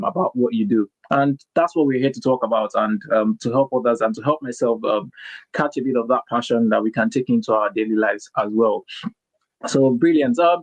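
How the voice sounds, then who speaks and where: A male podcast host talks in a casual, halting way, full of restarts and filler sounds. His voice is mid-pitched, and the recording is dry with no room sound. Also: no room sound, low-quality recording. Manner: hesitant, many restarts and ums. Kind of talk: casual interview talk.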